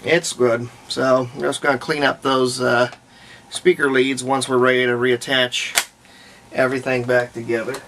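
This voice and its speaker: Gravelly man talking through a hands-on repair. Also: mid-range voice, level tone, moderate pace, fairly bright tone. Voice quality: gravelly, creaky. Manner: unscripted, thinking aloud while working. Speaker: hobbyist restorer presenting a repair video. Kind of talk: casual hands-on workbench commentary. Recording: clear background noise.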